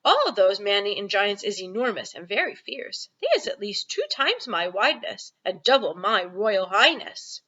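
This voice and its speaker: A woman's voice: medium pitch, big pitch swings, moderate pace, few pauses, sharp tone, lo-fi sound. A woman voicing a friendly, boastful storybook giant who talks in mangled grammar and invented comic words. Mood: emphatic.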